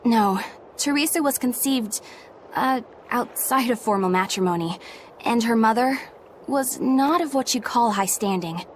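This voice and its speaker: A woman's voice: fairly high pitch, measured pace, slight background noise, close to an everyday voice. An actress playing an earnest young woman exorcist who speaks in a composed, sincere way. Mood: explaining. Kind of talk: game voice acting.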